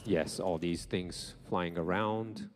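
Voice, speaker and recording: A man giving live gameplay demonstration commentary to an audience, explaining informally and a little haltingly. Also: low-pitched, slight background noise.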